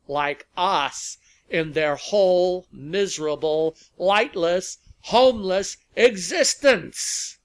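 A man voicing a confident, defiant activist leader in a story, declaiming loudly and deliberately to rally a huge crowd. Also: fairly high pitch, voice pushed up. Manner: declamatory, loud, deliberate oratory with drawn-out words. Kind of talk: speech in a narrated story.

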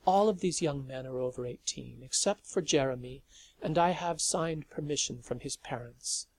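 A calm, gentle nun speaks softly and with quiet sureness, voiced by a male reader. The tone is husky with a gravelly texture. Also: fairly high pitch, moderate pace, no room sound. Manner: soft, quiet, composed.

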